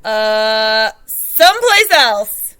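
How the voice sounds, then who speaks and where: A woman voicing a loud, booming, scheming father dinosaur in a storybook read-aloud. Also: very animated, very slow, clean tone, exaggerated voice. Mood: hearty, sly.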